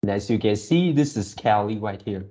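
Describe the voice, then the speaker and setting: Dark-voiced young man, halting delivery. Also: fairly low pitch, animated. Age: early 20s. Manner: halting, repeats and rephrases himself. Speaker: video channel host. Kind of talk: casual reaction video talk to viewers.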